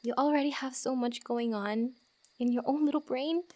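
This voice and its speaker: A woman playing a friendly, teasing fantasy wanderer who gives comfort in a soft-spoken, giggly, rambling ASMR roleplay. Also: high voice, normal speed, close to an everyday voice. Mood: playful, teasing.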